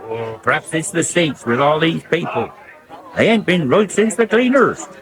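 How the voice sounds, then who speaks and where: Creaky West Country rustic man. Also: a little high, animated, normal speed. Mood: casual. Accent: English West Country. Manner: rustic, droll, grumbling. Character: wheedling rustic countryman at the village pub, fond of pints. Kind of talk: 1980s radio soap acting. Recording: pub crowd chatter behind.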